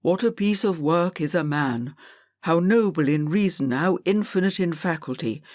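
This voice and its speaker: A woman's voice: lowish voice, lively intonation, normal speed, darkish tone, no room sound, studio quality, lightly acted. A woman reading an audiobook, voicing a stern, impatient elderly male schoolmaster who barks orders at his class. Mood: declaiming. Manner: barking, scolding commands.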